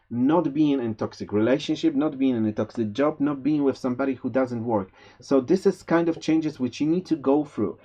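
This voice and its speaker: Hesitant man with a Polish accent. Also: mid-range voice. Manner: hesitant, many fillers and restarts; gentle, spiritual advice. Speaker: tarot reader. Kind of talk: monthly tarot forecast. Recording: slightly dull lo-fi sound.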